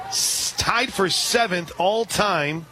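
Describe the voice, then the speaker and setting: A man calling a softball game live on radio, steady between pitches and surging loud and high on big plays. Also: slow, a crowd in the background, noisy background. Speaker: radio play-by-play announcer.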